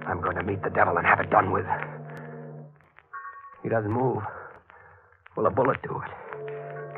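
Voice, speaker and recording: A man's voice: medium pitch, lightly acted. Man playing hardboiled reporter, old tinny radio. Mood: tense. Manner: hardboiled, clipped, dramatic. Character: earnest, tense young-sounding male newspaper reporter hero. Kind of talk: 1940s radio acting and first-person narration. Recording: tinny old radio broadcast, music behind.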